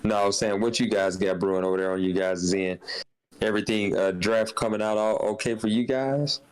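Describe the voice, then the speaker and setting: A man's voice: lowish voice, fairly even intonation, brisk, clear, resonant tone, poor audio. A man in a live podcast, chatting loosely in slang and reading out player stats. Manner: loose slangy talk, reads stats aloud. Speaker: league member on podcast. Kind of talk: casual banter.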